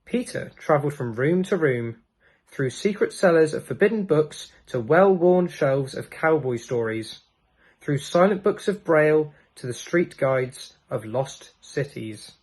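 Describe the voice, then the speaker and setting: A man narrates a children's storybook in a scripted read. His voice sits a little high and moves through a wide, animated range of pitch. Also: measured pace, low-quality recording. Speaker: storybook reader.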